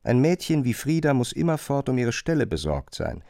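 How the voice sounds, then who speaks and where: A man narrating a literary radio drama in a measured, pointed, questioning manner. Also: medium pitch, sing-song, creaky, warm tone, dry recording, studio quality.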